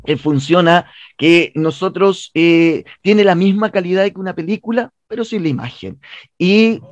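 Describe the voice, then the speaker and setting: A man's voice: high voice, normal speed, dry recording. A Chilean man speaking conversationally as an interview guest, often hesitating and repeating words as he searches for phrasing. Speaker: interview guest, theatre director and playwright. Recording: dull, band-limited, dry sound.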